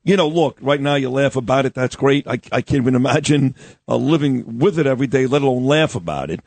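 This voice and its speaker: A man's voice: mid-range voice, fast, gravelly, a little mellow, no room sound. A man hosting a morning show, introducing a guest in a rambling, chatty way. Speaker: radio show host.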